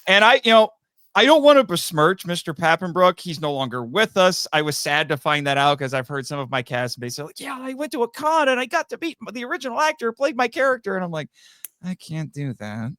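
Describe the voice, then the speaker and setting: A man with a slightly higher-pitched voice tells anecdotes as an interview guest. His delivery is casual and animated, self-amused, and the sound is dry with very little room in it. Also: fairly quick, dry recording. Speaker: podcast guest, amateur voice actor.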